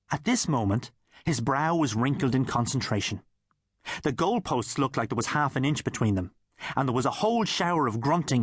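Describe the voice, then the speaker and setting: A man's voice: medium pitch, a bit bright, husky, no echo, studio quality. A man with an Irish accent reading a comic story as an audiobook narrator.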